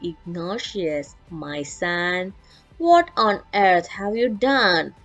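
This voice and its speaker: A woman voicing an expressive mother in a children's storybook, exclaiming dramatically at her son. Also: lowish voice, drawn-out words, lo-fi sound, exaggerated voice. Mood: disgusted. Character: mother, first delighted, then disgusted with her son. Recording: music playing behind.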